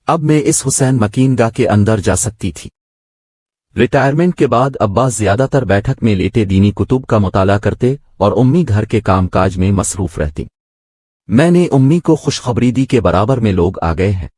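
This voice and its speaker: A man's voice: lowish voice, lively intonation, normal speed, a little mellow, dry recording, studio quality. A man reading a scripted moral story as its narrator.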